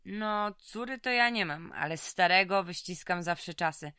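A tough, weary female convict for a radio play. Her voice sits a little low and is recorded close and dry. She talks in blunt, streetwise slang. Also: a little slow, bright, forceful tone, clear, resonant tone, no room sound. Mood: brash, sardonic. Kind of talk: audio drama acting.

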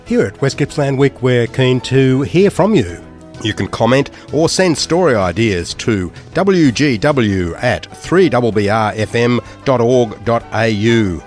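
A man's voice: mid-range voice, animated, a little slow, a little mellow, soft background music. A man hosting a community radio show, who asks questions in a hesitant way and often stumbles over his words. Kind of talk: radio interview.

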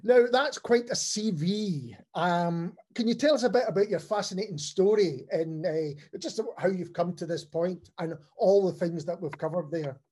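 A man's voice: high-pitched, moderate pace, hardly any pauses, a little mellow. A man hosting a podcast, asking questions in a friendly, hesitant way. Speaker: podcast host. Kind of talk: interview.

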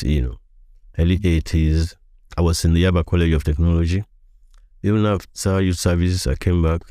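Casting: older Nigerian male voiceover veteran, extremely deep and dark voice, reminiscing in interview. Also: no echo, clean studio sound. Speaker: podcast guest, veteran voiceover artist.

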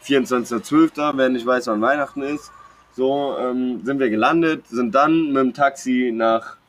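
A man's voice: mid-range voice, normal speed. A young man chats casually as a podcast co-host, telling personal anecdotes in a slangy, rambling, jokey way. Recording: clear background noise.

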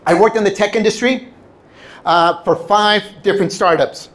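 A middle-aged man, a native Spanish speaker from Southern California, giving a personal motivational talk to an audience in animated storytelling style, acting out quoted lines. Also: high-pitched, moderate pace. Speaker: public speaker.

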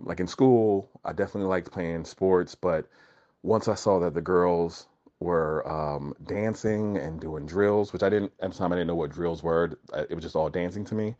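A young man telling a childhood story in a relaxed chat, hesitant and full of ums and restarts. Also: low voice, normal speed. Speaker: guest. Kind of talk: casual personal storytelling. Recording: dull lo-fi recording.

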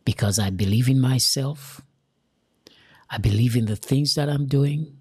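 Slow, husky Jamaican-accented man. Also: mid-range voice, darkish tone, no room sound. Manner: slow, deliberate and earnest, with emphatic repetition. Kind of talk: motivational direct-to-camera talk.

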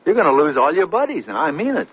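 Skeptical male pal, animated highish voice, sarcastic, teasing, chiding, old radio drama. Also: quick pace, breathy edge. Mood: scolding. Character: amused, skeptical buddy scolding a tall-tale teller. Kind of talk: 1970s radio drama acting. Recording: dull 1970s radio broadcast.

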